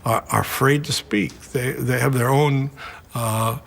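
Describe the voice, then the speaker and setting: A man with a husky voice speaks earnestly in a documentary interview. He repeats words as he speaks. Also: medium pitch, fairly even intonation, measured pace, a bit bright. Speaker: church advocate.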